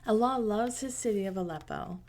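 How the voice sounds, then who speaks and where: A woman reading a picture book aloud to children in a gentle, unhurried way, with casual asides. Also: medium pitch. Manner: gentle, unhurried, pausing between short phrases.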